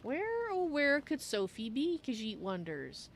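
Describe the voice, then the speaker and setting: Woman streamer with lively, swooping intonation. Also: high voice, a little slow, slight background noise. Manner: playful, musing aloud. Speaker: streamer, game roleplayer. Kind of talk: in-character roleplay narration of a video game, in third person.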